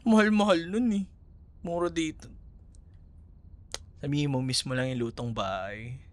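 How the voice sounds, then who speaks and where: A man's voice: a little high, measured pace, natural voice. A man with a Filipino accent acting a friendly, teasing ex-boyfriend in an intimate, casual audio roleplay, sometimes hesitant in his phrasing. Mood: teasing, fond. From Philippines.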